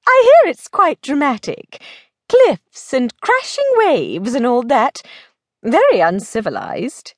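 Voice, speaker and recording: Pert, high-pitched society lady voiced by a woman. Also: huge pitch swings, slow, dry recording, studio quality, exaggerated voice. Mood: curious. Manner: arch, playful, sharp-tongued. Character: pert, witty young society lady, teasing and blunt.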